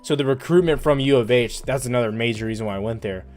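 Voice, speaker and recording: A man's voice: mid-range voice, quick pace, a little mellow, soft background music. A man in his twenties hosting a stock trading livestream, chatting casually and rambling with lots of "like". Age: 20s. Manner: laid-back, rambling, heavy use of "like". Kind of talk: casual livestream chat, reading viewer comments.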